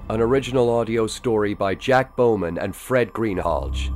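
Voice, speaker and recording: A man's voice: mid-range voice, darkish tone. A man reading scripted credits as the announcer of an audio production.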